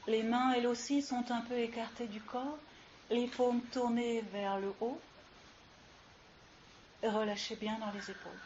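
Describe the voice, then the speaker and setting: A woman leading a guided yoga relaxation as instructor, giving soothing, unhurried instructions with long pauses, recorded live. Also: a little high, fairly even intonation, darkish tone. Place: live session with ambient sound. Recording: muffled live recording with clear hiss.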